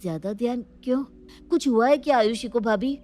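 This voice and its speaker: A woman's voice: a little high, fairly quick, dark tone, close to an everyday voice. A woman playing a considerate, concerned young man in a family story. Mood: worried.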